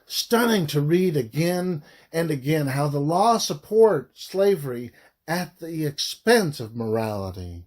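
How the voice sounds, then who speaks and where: A man reading a scripted audiobook narration in a deliberate way, phrase by phrase with frequent pauses. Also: a little high, sing-song, a little mellow. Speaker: author reading own audiobook.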